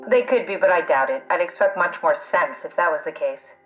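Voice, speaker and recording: A woman's voice: on the low side, fairly quick, fairly bright tone, lightly acted, poor audio. Wry, pragmatic actress as a woman scientist, music behind. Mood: focused. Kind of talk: video game voice acting.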